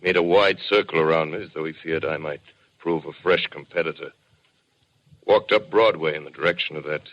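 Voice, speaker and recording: Very low-voiced educated survivor, sombre, grave, literary phrasing, old radio drama, muffled vintage recording. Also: male voice, a bit bright. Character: sombre, educated male survivor recounting a desolate journey. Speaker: radio drama lead and narrator. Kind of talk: 1930s radio acting, dramatic narration. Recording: dull, band-limited 1930s radio recording.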